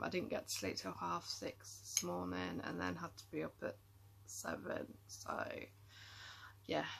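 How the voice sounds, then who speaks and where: Tired chatty woman, gravelly. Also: on the low side, a bit bright, a little hiss or hum. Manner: rambling, many ums, chatty. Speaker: vlogger. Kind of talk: casual chat to camera.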